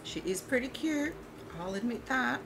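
A woman's voice: a little high, animated, moderate pace, background noise. A woman with a mixed, mostly Australian English accent, whose first language is from Eastern Europe, chatting casually as she plays a mobile game and reads its prompts aloud. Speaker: let's play host. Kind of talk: casual gameplay commentary.

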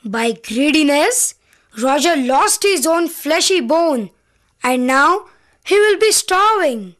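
A woman presenting a scripted children's lesson in a deliberate, instructional way. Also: sits high, animated, fairly bright tone.

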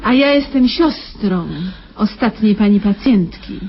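A woman's voice: medium pitch, measured pace, close to an everyday voice. An actress playing a determined, vindicated middle-aged mother in a radio crime drama. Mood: vindicated, earnest. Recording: dull radio recording with faint noise.

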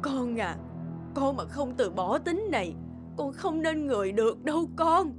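A woman's voice: high-pitched, lively intonation, unhurried. An actress playing an anguished, pleading mother in a cartoon, speaking emotionally. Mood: admonishing. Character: anguished, pleading mother of a hot-tempered son. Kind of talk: cartoon dubbing. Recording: clear music under the voice.

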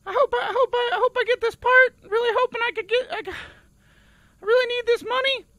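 A man's voice: extremely high pitch, steady tone, a little fast, pushed and bright, dry recording. A man voicing an anxious, eager little auditioner who babbles and repeats himself in improvised game comedy. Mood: nervous, eager. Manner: babbling, repetitive, naive.

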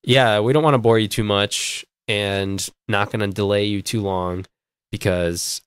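A male podcast host with a congested, stuffy voice, joking and rambling through casual chat. His voice sits on the low side. The sound is dry and close. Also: smooth, no echo. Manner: joking, rambling, stumbling over words. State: congested from a cold.

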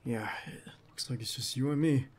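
A man acting a tense, loyal, protective bodyguard in an ASMR roleplay, speaking in a halting, earnest and reassuring way. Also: medium pitch, a little mellow, slightly rough, lightly acted. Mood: probing, uneasy.

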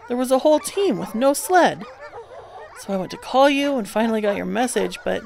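A woman playing a cheerful, helpful dog musher friend in an audio role play, with a creaky voice. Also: on the high side, expressive, fairly quick, darkish tone. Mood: apologetic.

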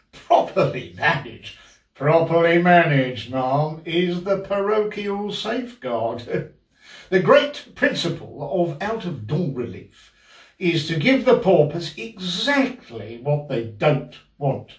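An older man playing a pompous, self-important Victorian parish beadle in theatrical comic style, with a halting delivery. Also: a little high, big pitch swings. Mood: pompous, confiding. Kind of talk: theatrical period comedy acting. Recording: roomy, distant camera microphone.

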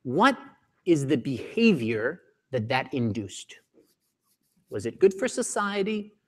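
A man in his forties giving a keynote talk on a conference stage, animated and acting out the voices of doctors, on a lapel mic. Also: medium pitch, slow, long reverb tail. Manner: animated, acts out doctors' voices, gestures a lot. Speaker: keynote speaker. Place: large conference hall stage.

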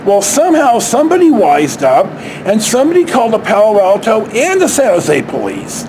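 A middle-aged American man casually telling a story to camera in a halting, rambling way, recorded outdoors on a handheld device. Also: high-pitched, wildly swooping pitch, background noise. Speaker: storyteller, vlogger. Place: outdoors beside a stucco house wall. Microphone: handheld camera or phone at arm's length.

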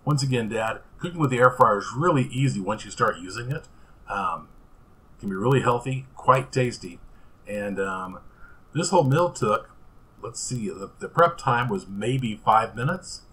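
A man's voice: lowish voice, normal speed. A man hosting a home cooking show in a small kitchen, talking casually with some fillers as he cooks and tastes. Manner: relaxed, chatty, with fillers and restarts.

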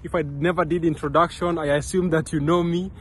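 A man's voice: a little high, steady tone, a little fast, slight background noise. A young man from Kenya talking earnestly to his phone camera while walking outdoors, rambling with many restarts and fillers. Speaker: vlogger. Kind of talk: casual vlog talk to camera. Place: outdoors on a park path beside a road. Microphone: phone camera held close.

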